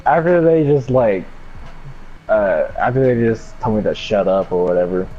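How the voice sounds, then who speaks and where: A man's voice: fairly low pitch, expressive, normal speed, dark tone. A young man telling a personal story casually in a laid-back, slangy way with some swearing. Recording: dull lo-fi mic with background noise.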